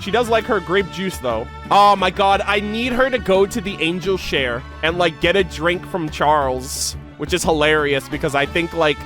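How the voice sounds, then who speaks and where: A man's voice: sits high, lively intonation, moderate pace, hardly any pauses, fairly bright tone, soft background music, rough recording. A man doing casual reaction commentary and reading game lore aloud, as an enthusiastic fan who uses slang. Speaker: gaming reaction video creator.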